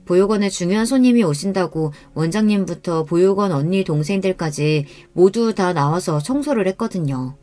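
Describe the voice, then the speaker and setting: A woman calmly narrating a first-person life story in an even, scripted manner. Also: fairly low pitch, moderate pace, few pauses.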